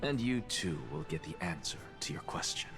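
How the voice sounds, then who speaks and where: An actor playing a proud, aloof male scholar in a video game, with measured, erudite and dismissive delivery. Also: low-pitched, lively intonation, over music. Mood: measured, explanatory. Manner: haughty, measured, erudite diction. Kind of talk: scripted video game voice acting.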